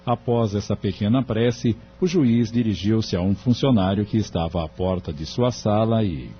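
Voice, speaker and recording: A man narrating a scripted audio drama in a measured storytelling manner. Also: on the low side, a little hiss or hum. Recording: dull lo-fi audio. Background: music.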